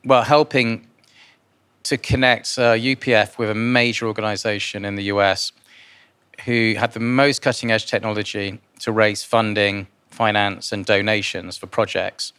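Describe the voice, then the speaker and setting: A man born in Guyana answers interview questions informally, in a halting way with repeated words. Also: fairly low pitch, little pitch movement, a bit bright, clean tone. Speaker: interviewee, philanthropist and investor.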